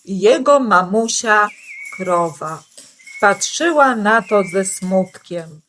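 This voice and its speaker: A woman's voice: medium pitch, expressive, takes it slowly, fairly bright tone, a little hiss or hum. A woman storyteller narrating a rhymed children's storybook.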